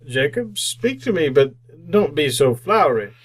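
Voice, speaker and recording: An actor playing a frightened, querulous old miser in an old-time radio drama, hesitant and pleading, often repeating himself. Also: male voice, fairly high pitch, very animated, moderate pace. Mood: anxious, placating.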